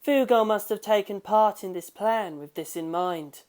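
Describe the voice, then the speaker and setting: A woman's voice: mid-range voice, normal speed, darkish tone, natural voice. A confident young gangster played by a woman narrator in an audio story. He states things assertively and plainly, with cool self-assurance.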